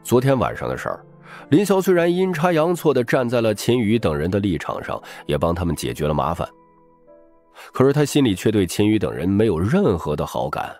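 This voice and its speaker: A man's voice: lowish voice, very animated, a little fast, a little mellow, soft background music. A man narrating a novel as a dramatic, scripted audiobook.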